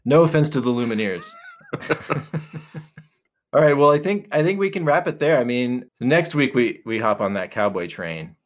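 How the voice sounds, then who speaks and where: A man co-hosting a podcast, chatting casually in a relaxed, rambling way with many fillers and restarts. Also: mid-range voice, normal speed, long pauses. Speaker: podcast co-host. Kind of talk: casual chat.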